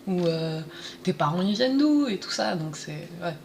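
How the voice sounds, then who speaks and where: A woman speaks in a somewhat low voice. She talks casually, hesitating and restarting as she thinks. A faint background hiss runs under the recording. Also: sing-song, moderate pace, vocal fry, a little mellow. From France, Paris suburbs. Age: 20s. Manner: hesitant, many fillers and restarts. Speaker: interview guest. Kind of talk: casual conversational interview.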